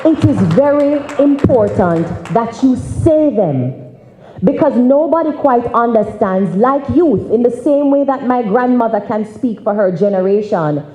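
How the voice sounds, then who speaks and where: A young woman with a Jamaican accent delivers a public speech in a roomy, echoing space. Her voice is fairly high and soft-edged, with deliberate, emphatic phrasing. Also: expressive. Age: young adult. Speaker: youth organisation president, speaker. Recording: roomy, echoing conference hall.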